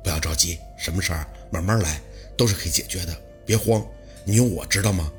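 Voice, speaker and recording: A man voicing a calm young male partner in an audiobook, gentle, steady and reassuring. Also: fairly low pitch, very fast, faint music behind, pitched below the performer's own voice, lightly acted. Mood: gently firm.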